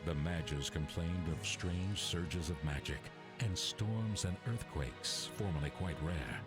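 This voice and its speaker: Deep-voiced man, solemn epic narration. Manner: solemn, measured delivery. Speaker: game intro narrator. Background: loud music.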